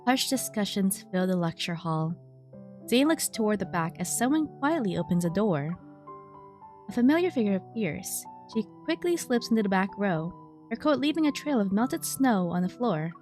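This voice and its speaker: Female streamer reading over soft music. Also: medium pitch, big pitch swings, normal speed. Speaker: streamer, VTuber. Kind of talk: game playthrough, reading story text aloud with casual commentary. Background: game music.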